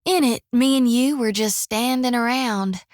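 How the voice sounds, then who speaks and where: A woman's voice: on the high side, measured pace, darkish tone, no room sound, close to an everyday voice. An actress playing a cheerful, devout young female priest who talks in a folksy drawl full of old-fashioned country slang. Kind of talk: video game voice lines. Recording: dry studio booth.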